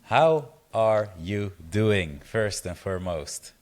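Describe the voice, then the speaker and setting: A man's voice: lowish voice, animated, takes it slowly. A man hosting a podcast, upbeat and friendly, reading a polished intro and asking questions. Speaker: podcast host.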